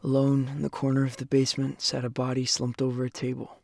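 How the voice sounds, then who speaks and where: A young male survivor of a ruined world, played by an actor speaks in a creaky, fry-edged voice. He is weary and reflective, with the somber feel of an audio drama. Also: medium pitch, monotone, quick pace, darkish tone, dry recording, clean studio sound, close to an everyday voice. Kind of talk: audio drama narration and acting.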